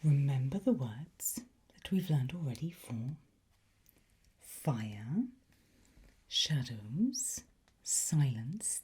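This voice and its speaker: Very slow, breathy whispering woman. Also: sits low. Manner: gentle, unhurried, encouraging. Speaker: ASMR host playing a language teacher. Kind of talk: whispered ASMR teacher roleplay.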